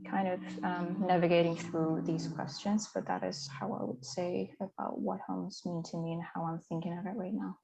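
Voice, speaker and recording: A woman's voice: lowish voice, level tone, moderate pace, vocal fry, few pauses, dark tone, poor audio. A woman from Mongolia, speaking as a conference panelist in a hesitant, reflective way with many fillers and restarts. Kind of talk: reflective personal talk.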